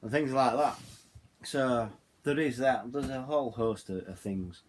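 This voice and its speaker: A middle-aged or older man with a Yorkshire accent gives a casual, unscripted product review, haltingly, often repeating words and phrases. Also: mid-range voice, a little hiss or hum. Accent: Yorkshire English. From Yorkshire, England. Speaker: hobbyist video host reviewing radios.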